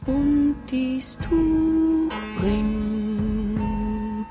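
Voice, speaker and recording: A woman's voice: mid-range voice, completely flat delivery, drawn-out words, muffled recording. A woman singing a mournful ballad over music. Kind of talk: slow sung ballad, lament.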